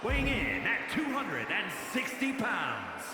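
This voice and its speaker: A grand ring announcer, echoes through a huge hall over blaring music. His high voice swoops theatrically in formal introductions. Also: wildly swooping pitch, a little slow, heavily acted. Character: booming, formal male wrestling ring announcer. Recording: echoing arena PA, loud music.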